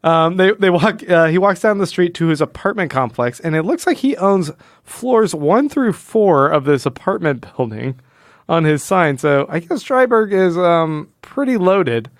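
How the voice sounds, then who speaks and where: A man's voice: high-pitched, very animated, unhurried, no echo, clean studio sound. A man hosting a casual comic book podcast in a wry, jokey way, often acting out imagined dialogue. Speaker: podcast host.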